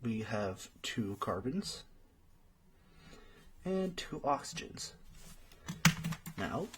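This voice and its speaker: A man's voice: medium pitch, big pitch swings, extremely slow, heavy background noise. A man playing a friendly young friend in an ASMR roleplay, patiently tutoring and thinking aloud in a hesitant way. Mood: patient, explaining. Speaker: study partner.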